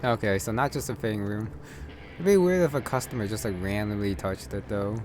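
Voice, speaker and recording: Low-voiced man casually commentating gameplay. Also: fairly quick, a little mellow, a little hiss or hum. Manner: unscripted, musing aloud to himself. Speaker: gamer, streamer. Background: game music.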